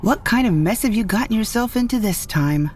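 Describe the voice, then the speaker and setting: A woman's voice: medium pitch, lively intonation, a little fast, a little mellow, slight background noise, close to an everyday voice. A woman voicing a breezy, wisecracking young intergalactic superheroine in an audio drama.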